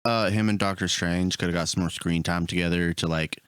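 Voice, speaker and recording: A man's voice: low voice, steady tone, fairly quick, fairly bright tone, smooth, no echo, studio quality. A man taking part in a casual podcast discussion about movies. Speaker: podcast panelist.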